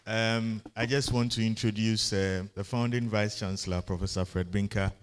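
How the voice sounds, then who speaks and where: A man moderating an event, making brisk announcements to a hall audience. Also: lowish voice, fairly even intonation, smooth. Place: large lecture hall. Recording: lecture hall reverb with faint noise.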